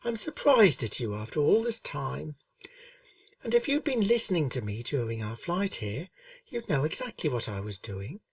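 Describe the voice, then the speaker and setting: A woman voicing a gentle, shy young female pegasus pony in a storybook reading, speaking softly and earnestly. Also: low-pitched, sing-song, normal speed, no room sound, distant mic, heavily acted. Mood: gently stern. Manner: soft-spoken, earnest, kindly.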